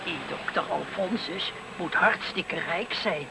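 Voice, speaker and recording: A man's voice: sits high, slow pace, gravelly, heavily acted. An actor playing a cheeky, chuckling old man who gossips on a bench in a radio play. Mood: curious, amused. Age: older. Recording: noisy 1970s radio play recording.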